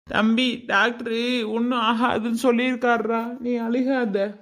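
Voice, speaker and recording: A woman's voice: fairly high pitch, flat delivery, unhurried, fairly bright tone. A woman voicing a worried, dutiful grown eldest son in a family drama, anxious and reproachful in manner. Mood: reassuring. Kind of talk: amateur family drama dialogue.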